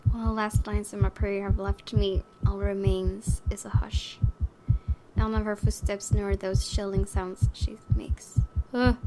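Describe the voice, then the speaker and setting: A woman's voice: mid-range voice, little pitch movement, measured pace, very noisy. A woman commentating on a horror game in a reactive, giggly way, switching between reading story text aloud and exclaiming. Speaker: gaming video host playing a horror visual novel. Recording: loud music behind the voice. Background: horror game music.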